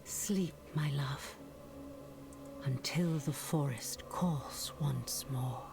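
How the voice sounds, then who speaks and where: An actress playing a solemn, tender night elf leader in a fantasy game, hushed and breathy. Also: female voice, sits low, very slow, full character voice. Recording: game music behind.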